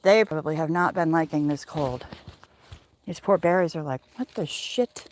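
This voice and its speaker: A woman's voice: deep voice, moderate pace, a little mellow. A woman chatting casually and playfully on a livestream while out walking, with a wry, salty, rambling manner. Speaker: livestreamer hosting a walk-along. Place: outdoors on a neighborhood walk. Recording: noisy outdoor handheld recording.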